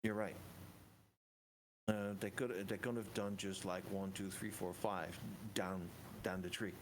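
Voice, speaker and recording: A man with a somewhat low voice talks casually during a tabletop game. He reasons aloud deliberately, with hesitations. Faint noise sits behind him. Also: level tone. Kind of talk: casual chat.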